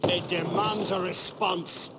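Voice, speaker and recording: An actor playing a proud, hot-headed knight hungry for vengeance, indignant and scornful in a fantasy game drama. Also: male voice, high-pitched, fairly even intonation, slow, very noisy. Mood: outraged.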